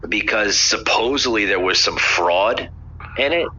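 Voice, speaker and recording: A man with a low, forceful and sharp voice hosts a casual political podcast. He halts, repeats himself and fills gaps with "uh". The recording is muffled and lo-fi. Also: lively intonation, unhurried. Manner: hesitant, repeats words, many "uh"s. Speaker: podcast host.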